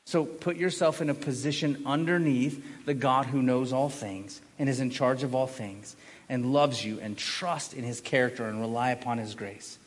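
A man's voice: medium pitch, normal speed. A man preaching a sermon as a pastor, speaking emphatically, repeating key phrases and posing rhetorical questions. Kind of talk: sermon to a congregation. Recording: echoey hall with long reverb.

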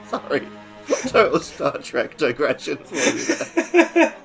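A podcast co-host banters casually about games and television, jokey and quick to wander off topic. The pitch swings widely, making the delivery extremely animated, and music plays underneath. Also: creaky. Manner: jokey, digressive.

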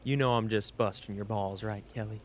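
A man's voice: fairly low pitch, steady tone, a little fast, a little hiss or hum, natural voice. A man playing a cocky, teasing seasoned scientist in an audio drama, talking in a casual, slangy way. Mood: teasing, relaxed.

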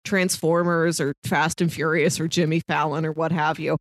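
A woman's voice: low-pitched, little pitch movement, moderate pace, no echo, clean studio sound. A woman chatting casually about movies as a podcast co-host, explaining things in a lightly amused way.

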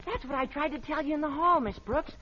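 A man playing an eager, helpful, meddling teenage schoolboy with a squeaky youthful voice in a vintage radio sitcom. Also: brisk. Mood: sheepish, hurried. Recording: muffled old radio broadcast with hiss.

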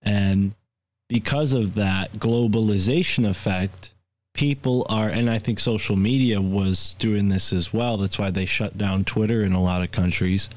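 A man from the United States co-hosting a podcast, talking in a relaxed, thoughtful way and asking open questions. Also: lowish voice, measured pace. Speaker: podcast co-host. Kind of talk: casual podcast chat.

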